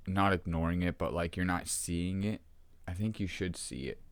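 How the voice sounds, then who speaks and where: A man vlogging to his camera at home, with a sore, rough throat, talking casually and rambling with many ums and restarts. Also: low voice, normal speed, no echo.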